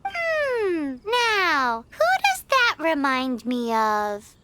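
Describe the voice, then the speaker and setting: A woman voicing a tiny, chirpy, inquisitive childlike girl companion in an anime-style game, squeaky and exclamatory. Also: wildly swooping pitch, extremely slow, heavy background noise, heavily acted. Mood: puzzled. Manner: refers to self in third person, exclamatory. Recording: loud music behind the voice.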